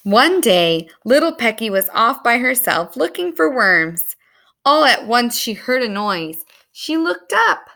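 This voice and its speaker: Woman, children's story reader, high-pitched, very varied melody, animated read-aloud delivery. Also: measured pace. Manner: animated, explains words to young listeners.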